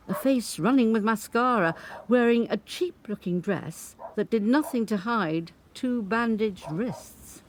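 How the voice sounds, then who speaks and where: An actress playing an 85-year-old grandmother from Birmingham, who looks back on her life in a measured, unhurried monologue. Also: on the high side, background noise. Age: older. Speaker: narrator. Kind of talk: scripted dramatic monologue.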